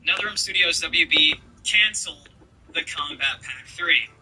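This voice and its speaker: A man's voice: fairly high pitch, moderate pace, pushed and bright, slightly rough, rough recording. A man giving casual video game news commentary as a YouTuber, informal and slangy, speaking straight to his viewers.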